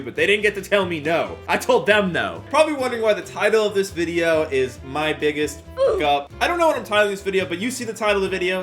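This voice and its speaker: A young American man telling a personal story in a casual, animated, slangy and self-mocking vlog style. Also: a little high. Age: young adult.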